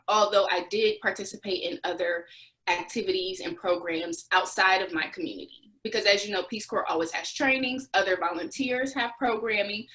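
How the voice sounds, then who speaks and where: A woman's voice: fairly low pitch, fairly bright tone, low-quality recording. A young adult woman answering interview questions, recounting experiences as a former volunteer with restarts and occasional laughs.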